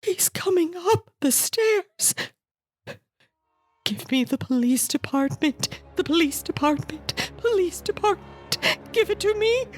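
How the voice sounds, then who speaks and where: A woman's voice: very high voice, animated, slow pace, faint music behind, exaggerated voice. An actress playing a terrified, desperate housewife in a radio thriller, pleading breathlessly and repeating her words. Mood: panicked pleading.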